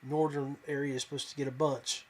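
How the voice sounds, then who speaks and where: A man from West Virginia hosting a fishing podcast in a casual, folksy, halting way. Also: mid-range voice, flat delivery. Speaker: podcast host.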